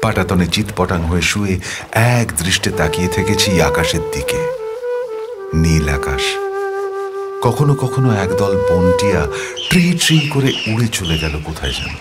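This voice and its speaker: A man's voice: low voice, expressive, moderate pace, pauses often. A man narrating an audio story as a wistful young man writing a confessional love letter, speaking in a reflective, intimate way over music. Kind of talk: first-person dramatized storytelling, read as a letter.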